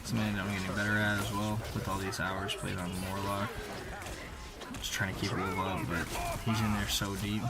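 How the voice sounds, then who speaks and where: A man giving casual, slangy voice-over commentary on gameplay, conversational with animated reactions. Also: on the low side, moderate pace, loud music behind the voice. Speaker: gaming video creator.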